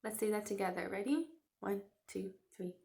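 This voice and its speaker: A woman's voice: medium pitch, normal speed, vocal fry, mellow. A woman teacher giving a read-aloud lesson for children, coaxing young learners with questions. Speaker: teacher reading a picture book to children.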